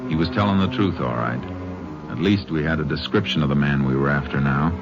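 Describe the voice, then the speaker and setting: A stern Texas Ranger in a vintage radio western. His voice is extremely low, his delivery terse and authoritative, and the old recording is dull. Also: brisk, over music. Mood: sober narrating. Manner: terse, authoritative, matter-of-fact.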